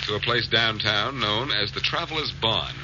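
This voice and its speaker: An actor playing a suave, wisecracking detective hero with wry, teasing banter and an American accent, in 1940s radio drama style. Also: male voice, fairly low pitch, normal speed, close to an everyday voice. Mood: smooth, teasing. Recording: muffled, noisy old radio recording.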